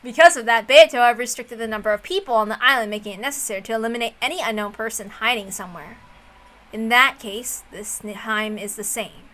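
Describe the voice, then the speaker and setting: A woman plays a calculating sorcerer brooding over a mystery duel in a visual novel. The voice is high and bright, with serious, deliberate, dramatic delivery. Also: natural voice.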